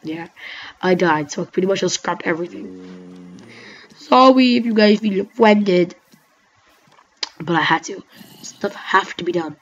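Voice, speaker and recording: Excitable rambling woman gaming. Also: on the low side, unhurried, long pauses, a little hiss or hum. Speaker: gaming commentator. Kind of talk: casual let's-play commentary. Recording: dull lo-fi mic with faint music.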